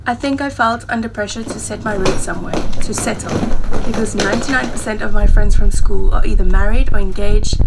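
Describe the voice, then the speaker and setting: A woman in her twenties speaks with a South African accent at a middling pitch. She sounds candid and chatty, like a travel vlogger talking to her viewers. Soft music plays faintly behind her. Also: rough recording. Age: 20s. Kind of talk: personal vlog, talking to camera.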